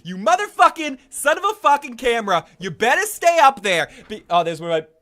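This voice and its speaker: Man, Boston accent, middle-aged, rambling profane live-stream host, highly animated pitch, slightly sick. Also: a little fast. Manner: profane, rambling, excitable outbursts. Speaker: podcast host. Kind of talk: casual live-stream chat.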